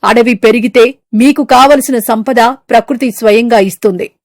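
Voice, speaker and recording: A woman's voice: a little high, normal speed, a little mellow, no echo, clean studio sound, lightly acted. Solemn ancient mother tree spirit voiced by a woman. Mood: solemn, forgiving. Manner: solemn, grave, declamatory. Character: ancient sacred mother tree, solemn, stern yet forgiving. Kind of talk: animated moral story dialogue.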